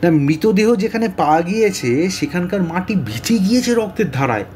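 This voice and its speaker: High-voiced, baffled police inspector. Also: male voice, very animated, normal speed, a little mellow, soft background music. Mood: puzzled, earnest. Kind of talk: audio drama acting.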